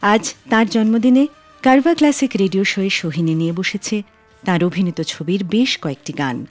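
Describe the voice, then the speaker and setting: A woman hosting a radio show, reading a scripted narration in an expressive, storytelling way. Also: medium pitch, moderate pace. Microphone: close studio microphone. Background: soft music.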